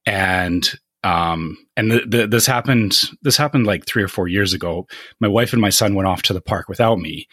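Low-voiced, hesitant podcast co-host. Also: male voice, normal speed, hardly any pauses, fairly bright tone, no room sound, clean studio sound. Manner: hesitant, searching for words. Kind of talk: casual podcast chat.

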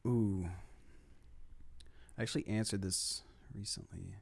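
A man with a lowish, slightly hoarse voice chats in an easygoing way. He muses aloud, trailing off with fillers as he picks his favorites. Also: steady tone, unhurried, a little mellow. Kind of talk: casual chat.

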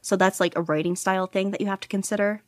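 A woman's voice: mid-range voice, dry recording, clean studio sound. A woman chatting casually as an interview guest, rambling along in a self-deprecating way. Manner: animated self-deprecating rambling, quick to laugh at herself. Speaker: podfic narrator being interviewed.

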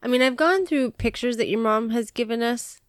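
A woman's voice: a little high, normal speed, no echo. Wheezy middle-aged lady cohost, teasing, playful, dry close sound. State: wheezy from asthma. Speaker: podcast co-host. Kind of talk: casual chat.

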